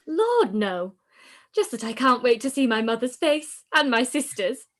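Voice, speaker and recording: Giddy teenage bride-to-be for period radio play, played by a woman: high voice, gushing, carefree, boastful. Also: sing-song, a little slow, fairly bright tone, exaggerated voice. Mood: excited.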